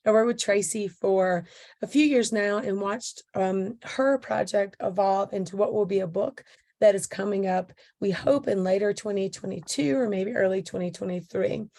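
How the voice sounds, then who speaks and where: A woman with a slightly hoarse voice, hosting an interview about photo books and talking in a halting way with many pauses and fillers. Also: medium pitch, warm tone. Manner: halting, many ums and restarts. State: hoarse, recovering voice. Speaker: interviewer, photo book consultant. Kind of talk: casual video interview.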